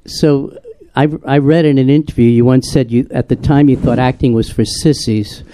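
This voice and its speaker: Mellow-voiced man hosting a live panel. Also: medium pitch, fairly even intonation, normal speed. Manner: chatty, teasing, laughs often. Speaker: host moderating a live panel. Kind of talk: lively onstage Q&A, joking. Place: live theater event.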